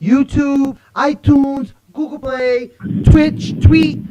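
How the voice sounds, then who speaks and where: A woman's voice: sits high, steady tone, very slow, mellow, highs cut off. A woman co-hosting a podcast, bubbly and enthusiastic in casual chat. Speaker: podcast co-host.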